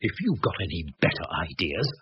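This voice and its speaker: A man's voice: deep voice, wildly swooping pitch, brisk. An actor playing an agitated, insistent British man in a radio drama, urgent and emphatic as he searches for explanations. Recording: dull, noisy old radio recording.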